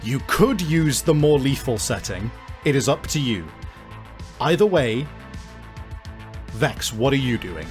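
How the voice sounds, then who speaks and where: A man acting as game master of a tabletop role-playing game, explaining rules in a deliberate, hesitant way. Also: medium pitch, big pitch swings. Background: music.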